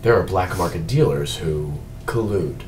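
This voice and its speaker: A low male voice, an actor's, for a film's android detective. He is formal, precise and drily witty. He sounds a little away from the mic, with faint noise behind. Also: expressive, a little slow, darkish tone, distant mic. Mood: deadpan. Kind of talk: table read of a film script.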